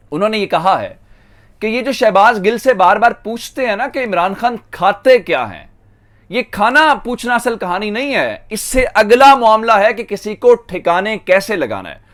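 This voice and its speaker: A man with a Pakistani accent hosts an online political news monologue. His voice sits clearly high for a man. He speaks emphatically, stretching the phrases he wants to stress. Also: a bit bright. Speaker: news commentator and channel host.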